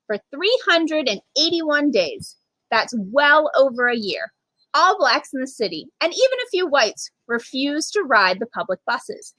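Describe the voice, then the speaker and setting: A woman reading a children's picture book aloud in an expressive, emphatic way with dramatic pauses. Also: high voice, dry recording, low-quality recording. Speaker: storytime reader, literacy program manager.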